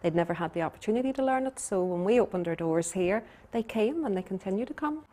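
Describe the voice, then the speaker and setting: A woman answering interview questions quickly and earnestly, her voice low-ish and mellow. Faint room ambience sits behind her. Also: expressive. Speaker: Irish language teacher.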